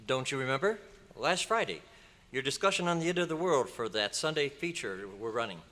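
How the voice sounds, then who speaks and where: An actor playing a polite, earnest newspaper reporter in an old-time radio drama. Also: male voice, medium pitch, lively intonation, brisk, sharp tone. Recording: echoey live hall recording.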